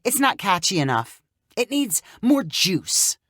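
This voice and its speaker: A woman as a breezy, boastful wannabe influencer with swooping pitch. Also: sing-song, slow, fairly bright tone, dry recording, studio quality, full character voice. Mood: skeptical. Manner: breezy, self-assured, boastful. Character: Tamara, chatty self-promoting woman, trendy wannabe influencer.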